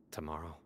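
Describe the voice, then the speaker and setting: A man playing a stern, principled young general in a video game, speaking in a measured, serious way over music. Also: pushed and bright, slight background noise. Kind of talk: dramatic game voice acting. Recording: music under the voice.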